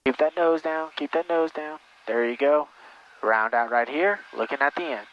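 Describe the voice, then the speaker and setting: A man coaches from the cockpit as a flight instructor, in a slightly high voice. He is patient and encouraging, repeating short instructions step by step. The intercom audio is muffled, with faint engine noise beneath it. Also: moderate pace. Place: small airplane cockpit in flight. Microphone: aviation headset.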